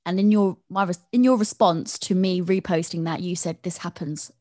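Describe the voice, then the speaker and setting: A woman's voice: fairly low pitch, fairly even intonation, moderate pace, warm tone, dry recording. Attentive woman interviewer, dry sound. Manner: attentive, asks probing questions. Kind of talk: interview conversation.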